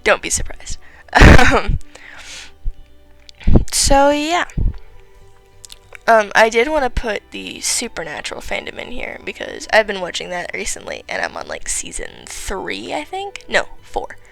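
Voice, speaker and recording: A teenage girl giving rambling, chatty commentary on her game late at night, her voice tired and raspy. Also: medium pitch, wildly swooping pitch, unhurried, a bit bright, soft background music, low-quality recording. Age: teens. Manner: rambling, filler-heavy, chatty. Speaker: gaming vlogger.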